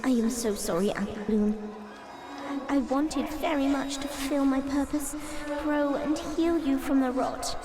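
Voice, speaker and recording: A woman's voice: high voice, fairly even intonation, a little slow. A woman playing a dying magical tree seed spirit in a fantasy audio drama, speaking in a formal, grave, earnest way. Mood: solemn.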